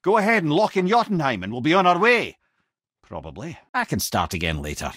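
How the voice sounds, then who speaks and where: An actor playing a witty, learned old companion in a video game, with a Scottish accent, a husky voice and a wry, eloquent, theatrical way of talking. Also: male voice, on the high side, wildly swooping pitch, fairly quick, fairly bright tone, dry recording, studio quality, heavily acted. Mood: wry, animated. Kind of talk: video game character dialogue, lore storytelling.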